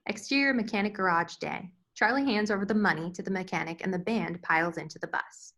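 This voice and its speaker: A woman's voice: mid-range voice, a bit bright. A woman reading screen directions as the narrator, in scripted narration style.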